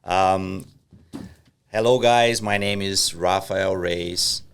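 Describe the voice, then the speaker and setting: A man from Brazil with a Brazilian Portuguese accent, a podcast guest chatting casually in English, halting with many fillers. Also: sits low, steady tone, fairly bright tone, slight background noise. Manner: hesitant, many fillers, laughs easily. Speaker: podcast guest, professional poker player. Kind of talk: casual interview chat.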